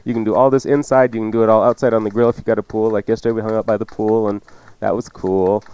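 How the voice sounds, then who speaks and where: A man's voice: fairly low pitch, little pitch movement, fast, a little mellow, clear, resonant tone, dry recording, muffled recording. A man hosting a cooking show, chatting through recipe instructions off the cuff with joking asides. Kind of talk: casual unscripted radio cooking instruction.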